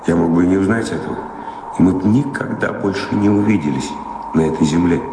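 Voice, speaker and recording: An actor playing a world-weary wandering former lover in an audio play, gravelly and brooding, with deliberate phrasing. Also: male voice, low voice. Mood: wistful, reflective. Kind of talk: audio play stage acting. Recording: echoey, noisy old radio-play recording.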